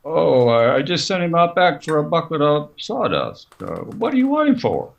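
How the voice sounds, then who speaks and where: A very animated frontier barkeep. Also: male voice, fairly high pitch, normal speed, gravelly, muffled recording, slight background noise, heavily acted. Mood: guarded, insistent. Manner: folksy rustic grammar. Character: protective, folksy male frontier saloon keeper. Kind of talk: old-time Western radio acting.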